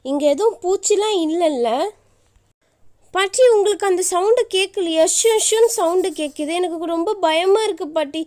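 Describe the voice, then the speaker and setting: Woman voicing a squeaky, timid young village wife. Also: moderate pace, darkish tone. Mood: chatty, uneasy. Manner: fretful, pleading, repetitive. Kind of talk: animated story dialogue.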